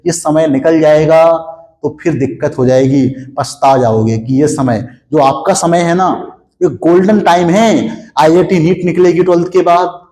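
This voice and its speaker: A man's voice: on the high side, a little mellow, roomy sound. A young man with a sore throat, teaching a physics class in a hot studio, explaining step by step and asking students questions that he answers himself. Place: studio with a board, hot, no air conditioning.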